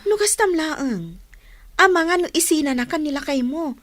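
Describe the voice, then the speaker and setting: Woman playing scornful ilocano rival, highish voice, scolding and mocking, radio play, very dry audio. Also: lively intonation, normal speed, a bit bright, no room sound. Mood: scornful, taunting. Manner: taunting, scolding. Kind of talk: Ilocano radio drama acting.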